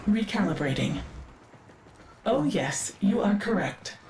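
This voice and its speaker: Slow, echoing, confused female AI. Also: mid-range voice, very animated, creaky, heavily acted. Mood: confused, fading. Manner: halting, precise formal speech. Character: confused, failing female AI archivist, fading and frightened. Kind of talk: dramatic video game voice acting. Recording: echoing voice with loud game music.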